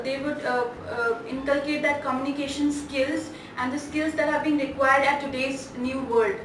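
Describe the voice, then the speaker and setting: A high-pitched young woman with a North Indian accent gives a testimonial haltingly, searching for words. She sounds away from the mic in a very echoey space, with clear noise around her. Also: distant mic. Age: 20s. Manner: hesitant, halting. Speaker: student giving a testimonial. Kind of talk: interview testimonial.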